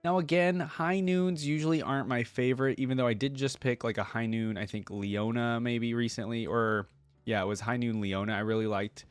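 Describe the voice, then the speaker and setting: A man's voice: medium pitch, steady tone, moderate pace, clean tone, no room sound. A man hosting a reaction video, talking casually and thinking aloud in a rambling, informal way.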